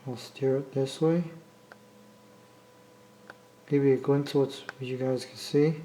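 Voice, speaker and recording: A man with an American accent talking casually off the cuff as a hobbyist video maker, rambling and halting as he repeats himself. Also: mid-range voice, steady tone, warm tone, a little hiss or hum, low-quality recording. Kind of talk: casual unscripted vlog talk. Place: indoor room, talking from behind the camera.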